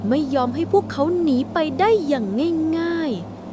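Woman storyteller, high voice, dramatic scripted ghost-story narration, clearly noisy ambient backdrop. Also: expressive, unhurried. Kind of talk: scripted narration of a spooky bedtime horror tale. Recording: clear background noise, ambient rumble.

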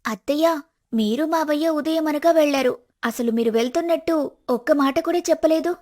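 A woman voicing a high-pitched, insistent young daughter-in-law. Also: moderate pace, a little mellow, natural voice. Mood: questioning. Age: young adult. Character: polite but self-willed young daughter-in-law. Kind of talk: animated family story acting.

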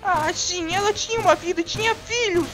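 Naive female cartoon rabbit creature, played by a woman, Brazilian accent: extremely high, bright, whiny. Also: brisk, soft background music, low-quality recording. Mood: exasperated. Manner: earnest, whiny, protesting. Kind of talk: cartoon fandub acting.

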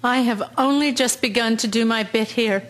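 An actress in a radio drama playing a tough, determined wounded army nurse, earnest and plucky. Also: female voice, fairly high pitch, normal speed, a bit bright, close to an everyday voice, rough recording.

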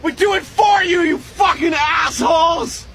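A man's voice: extremely high pitch, a little slow, gravelly, a loud crowd, heavily acted. A man voicing a foul-mouthed, aggrieved battle creature in a cartoon parody, whiny and strained as he rants. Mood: angry, pained. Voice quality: strained, shouty. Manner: whiny, sweary ranting. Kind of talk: comedy cartoon parody acting.

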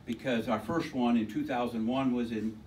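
A man with a loud voice giving an informal technical talk at a meetup, rambling and restarting his sentences. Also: mid-range voice, steady tone, normal speed, recorded from a distance, a little hiss or hum, poor audio. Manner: rambling, with many restarts and repeated words. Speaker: conference speaker. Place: lecture room at a tech meetup. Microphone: handheld mic, often held away from the mouth.

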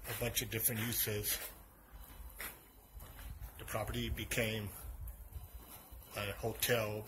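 Urban explorer, man, low monotone, rambling walk-and-talk, noisy outdoor camera audio. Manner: loose, rambling, self-correcting. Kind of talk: casual walking narration. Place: outdoor ruins of a large old hotel.

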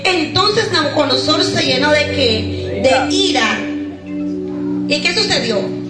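A very high-voiced woman in her thirties preaches with shouting, sing-song fervor and breaks into tongues. She holds a handheld microphone in a big bare concrete courtyard at night. Her voice echoes around the space over loud worship music. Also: expressive, slow pace. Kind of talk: fiery Pentecostal sermon.

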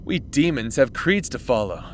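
A man's voice: mid-range voice, very animated, normal speed, slight background noise, natural voice. A man playing a cocky demon schemer in an audio roleplay drama, casual and teasing with a swaggering manner. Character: cocky, taunting male demon, amused schemer.

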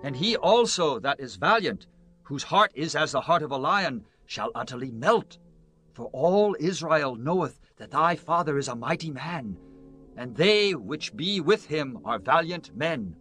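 Earnest biblical counselor, slightly high voice, declamatory, persuasive, scripture drama, clear music behind. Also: male voice, big pitch swings, unhurried, fairly bright tone. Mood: solemn, persuasive. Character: Hushai, earnest persuasive male royal counselor in ancient Israel.

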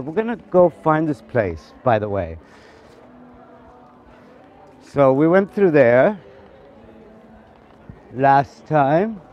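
A man's voice: mid-range voice, dull audio. A man, chatting playfully while walking through city streets for a casual interview, recorded outdoors on a camera mic. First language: Spanish. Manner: playful, chatty, laughs often.

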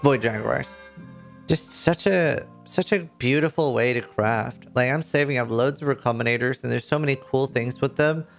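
A man in his thirties speaks English with a Norwegian accent, his voice carrying a gravelly, creaky texture. He rambles eagerly about his favourite game update. He is close to a desk mic, with faint guitar music behind. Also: mid-range voice, moderate pace. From Norway. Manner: enthusiastic, rambling, lots of fillers. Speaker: streamer. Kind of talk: casual game commentary to camera. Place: indoor streaming room.

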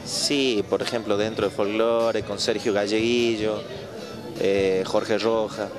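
A young man with an Argentine accent and a low voice answers interview questions in a modest, halting way. He speaks into a handheld mic in a bar. Loud live music plays behind him. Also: level tone. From Tucumán province, Argentina. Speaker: folk singer guest.

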